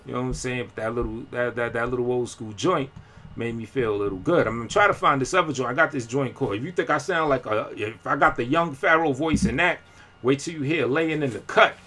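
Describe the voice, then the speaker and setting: A man chats loosely on a live video, wandering between thoughts in heavy slang. He stops, restarts and fills gaps with fillers as he talks. His voice sits at an ordinary middle pitch. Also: quick pace, bright, forceful tone. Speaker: independent rapper and vlogger. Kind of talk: casual live-stream talk.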